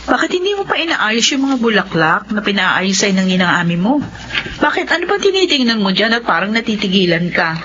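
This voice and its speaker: A woman playing a busy, questioning mother in a horror radio play. Her mid-pitched voice is animated and inquisitive, over muffled audio with clear background noise. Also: brisk, natural voice. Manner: expressive, inquisitive. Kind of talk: horror radio drama acting.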